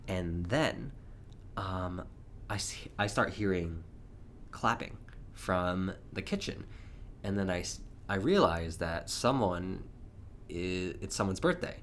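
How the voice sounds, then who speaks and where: A slightly hoarse young man hosting a casual solo podcast, rambling chattily with frequent chuckles. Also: low voice, expressive, takes it slowly, slight background noise. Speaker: podcast host.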